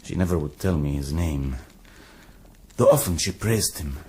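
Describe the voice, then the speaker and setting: An actor in a radio drama playing a proud, courteous young Syrian-born warrior who speaks in a measured, formal and earnest way. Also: male voice, rumbling low, animated, warm tone, a little hiss or hum. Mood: proud, wistful.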